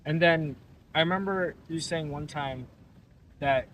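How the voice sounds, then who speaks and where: A coach leads a casual interview at a middle pitch, mostly with short affirmations and simple guiding questions. Now and then the coach steers the talk with a new question. A faint background noise sits under the voice. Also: measured pace, fairly bright tone, low-quality recording.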